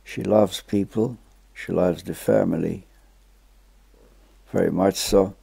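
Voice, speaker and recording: An older man with a Polish accent, talking slowly and earnestly about his family and marriage in a home interview. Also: deep voice, steady tone, vocal fry, warm tone, slightly rough, no room sound. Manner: slow, plain, earnest phrasing. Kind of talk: reminiscing interview. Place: living room at home.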